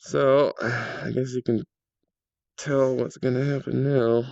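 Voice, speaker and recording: A man with a cold and a slightly raspy voice, casually commenting as he tests his game world and thinks aloud with fillers. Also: mid-range voice, little pitch movement, takes it slowly, a little mellow, dry recording, muffled recording. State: sick and a little raspy.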